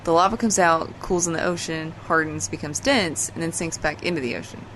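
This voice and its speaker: A woman's voice: deep voice, a little fast, fairly bright tone, a little hiss or hum, low-quality recording. A woman playing an exasperated, dry-witted character in casual audio drama banter, sardonic and matter-of-fact.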